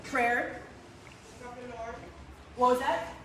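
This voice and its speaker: A woman's voice: high voice, drawn-out words. A woman in her thirties, from Barbados, giving an earnest talk to a church audience and asking them questions. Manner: earnest, restarts phrases, asks the audience questions. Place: church in the Caribbean. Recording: echoey church room from far off, faint noise.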